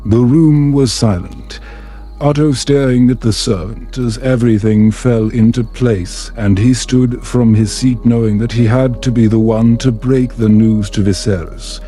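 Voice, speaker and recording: A man tells a bedtime story in a soothing, measured style. His voice is mellow and relaxed, with a medium pitch, and he takes his time over the scripted narration. Soft music plays behind him. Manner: soothing, measured, unhurried delivery.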